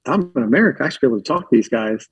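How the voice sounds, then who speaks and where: A man from Philadelphia chats as a podcast guest. His voice is husky and breathy, and the sound is close and dry. He rambles through stories packed with filler words. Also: mid-range voice, lively intonation, racing, dry recording, low-quality recording. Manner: rambling, filler-heavy, uses lots of likes. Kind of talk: casual storytelling chat.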